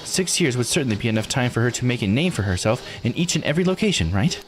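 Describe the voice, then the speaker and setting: A man's voice: medium pitch, big pitch swings, quick pace, soft background music, natural voice. A man voicing an eager, bookish young theorist who explains things earnestly, read aloud from a visual novel.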